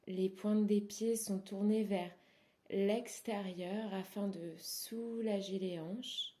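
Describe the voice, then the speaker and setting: A woman leads a guided meditation at a very slow pace, with a medium-pitched voice. She speaks softly and soothingly, leaving pauses between short phrases. Some phrase endings fade into a breathy softness. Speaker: yoga nidra instructor.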